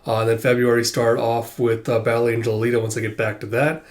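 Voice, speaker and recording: A man with a cold and a sore, stuffy throat recapping a manga chapter casually, halting often with filler sounds. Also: mid-range voice, little pitch movement. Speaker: manga reviewer.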